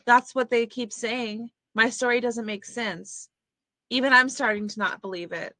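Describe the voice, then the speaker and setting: A woman's voice: a little high, steady tone, moderate pace, pushed and bright, dry recording. A woman playing a troubled, bitter and frightened young psychiatric patient in a dramatic table read. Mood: bitter, guarded.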